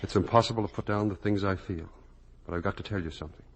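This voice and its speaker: Righteous young son in a 50s radio drama, low rough voice, deliberate and intense. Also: male voice. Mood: grave, aching. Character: earnest, morally outraged grown son confronting his parents. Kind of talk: 1950s radio drama acting.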